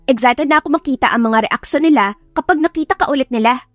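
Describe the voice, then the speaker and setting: A chirpy young Filipina best friend, as a woman narrator acts her in an audio drama, teasing and gushing. The voice is very high and dry, with music underneath. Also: quick pace, no echo, close to an everyday voice. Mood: playful teasing. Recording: music behind the voice.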